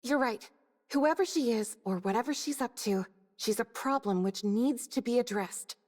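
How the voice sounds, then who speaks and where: A woman's voice: fairly high pitch, moderate pace, darkish tone, in a large hall, lightly acted. An actress playing a practical, matter-of-fact woman soldier.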